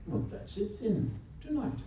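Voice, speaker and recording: A man acting a weary, kindly sorcerer master, principled and gentle, in staged radio theatre. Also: high-pitched, very animated, measured pace. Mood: measured. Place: indoor convention performance before microphones. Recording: echoey live room, distant mic, faint noise.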